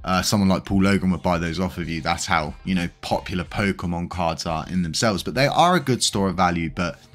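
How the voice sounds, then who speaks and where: A man's voice: low voice, a bit bright. A British man hosting a crypto channel, talking in a rambling, casual way with lots of fillers. He is congested and slightly wheezy. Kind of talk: casual news commentary.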